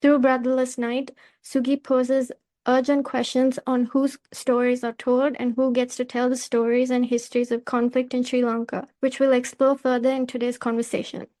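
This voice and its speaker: High-pitched, even-toned woman podcast host. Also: normal speed. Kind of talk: scripted podcast introduction and questions.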